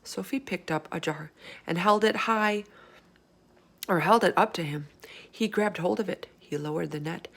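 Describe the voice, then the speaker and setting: Woman reading a children's story, lowish voice. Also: creaky. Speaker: storybook reader. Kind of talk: expressive children's book read-aloud narration.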